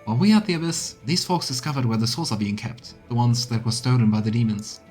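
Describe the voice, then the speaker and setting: A man's voice: mid-range voice, fast talker, a little mellow, soft background music, natural voice. A man reading game dialogue aloud as an earnest, determined cleric who reports to a commander with emphatic phrasing. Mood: earnest, resolute.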